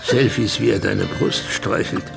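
A haunting older father speaks in a low, heavily gravelled voice. His radio-drama lines are terse, intimate interjections, like a reproachful inner voice. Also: a little slow, over music. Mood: disapproving.

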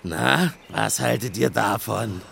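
An actor playing a stocky, hearty, talkative dwarf in a children's audio drama, with a gravelly, creaky voice and a blustery, excitable manner. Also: male voice, lowish voice, slow, a little hiss or hum, heavily acted. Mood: chatty, blustery. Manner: blustery, excitable, colourful speech.

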